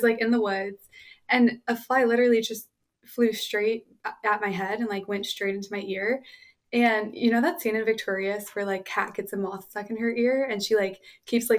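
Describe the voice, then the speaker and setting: A young woman in her twenties with a slightly raspy voice, chatting casually as a podcast guest and peppering her stories with "like". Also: mid-range voice, fairly bright tone. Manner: chatty, giggly, lots of "like". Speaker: podcast guest, college runner. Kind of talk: casual chat.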